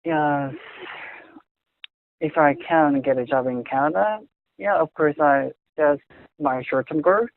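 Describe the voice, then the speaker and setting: Young man, Korean accent, non-native English, slow and hesitant, dry tinny line. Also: on the high side, creaky, no echo. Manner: halting, searching for words, frequent restarts. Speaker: interviewee. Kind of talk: casual conversation in English. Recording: tinny phone-like audio.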